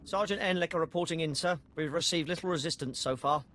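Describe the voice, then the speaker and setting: An actor playing a sardonic army sergeant in a video game, reporting in a clipped military manner. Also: male voice, fairly high pitch, quick pace, a bit bright, slight background noise, close to an everyday voice. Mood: brisk. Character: crisp, sardonic male Imperial army sergeant.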